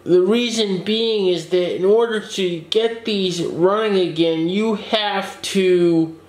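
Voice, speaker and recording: A man's voice: high-pitched, takes it slowly, echoey room, rough recording. A man who runs a computer shop, giving a casual update on repairs in his workshop, explaining things plainly with small restarts. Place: small office workshop with desk, indoors.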